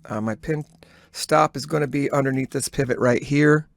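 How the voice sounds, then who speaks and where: A man records a trading journal voice-over in a dry, close space. He has a mid-pitched voice and thinks aloud as he follows the action. His delivery is halting and instructive, as if coaching himself and the viewer at once. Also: gravelly, no echo. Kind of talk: live trade commentary, thinking aloud.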